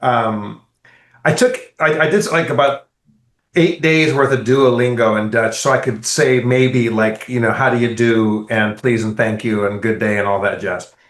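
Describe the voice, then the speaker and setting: A man co-hosting a podcast, telling a rambling, animated travel story in casual, profane chat. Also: medium pitch, normal speed. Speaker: podcast co-host. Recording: roomy echo.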